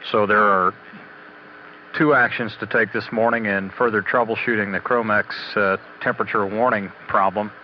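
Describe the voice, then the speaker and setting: A man giving a halting, matter-of-fact technical status briefing as a spaceflight mission commentator, on old radio audio. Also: fairly low pitch. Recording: dull, noisy 1980s mission radio audio.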